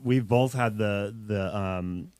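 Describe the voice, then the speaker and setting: A man's voice: fairly low pitch, takes it slowly, darkish tone, clean tone, no room sound. A man in his forties co-hosting a podcast, enthusiastic and affirming, laughing easily. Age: 40s. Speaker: podcast co-host. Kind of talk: casual interview conversation.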